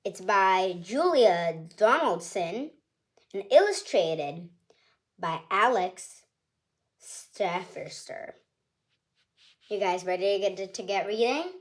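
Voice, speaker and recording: A woman's voice: mid-range voice, lively intonation, takes it slowly, long pauses. A woman hosting her own channel, reading a picture book aloud to children in a cheerful, chatty, singsong storytelling way.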